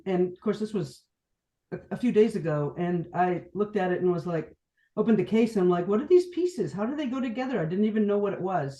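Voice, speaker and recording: A woman's voice: mid-range voice, warm tone, reverberant, low-quality recording. A middle-aged woman from New Hampshire chatting casually and telling personal stories as a podcast guest. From New Hampshire, United States. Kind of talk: casual chat, personal anecdotes.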